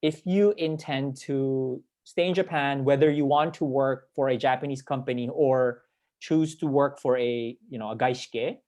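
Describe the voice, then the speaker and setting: A man's voice: mid-range voice, fairly even intonation, moderate pace, darkish tone. A young man from the Philippines talking casually as a panelist on a video call, rambling and repeating words for emphasis. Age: young adult. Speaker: panelist sharing work experience. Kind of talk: casual spoken panel talk.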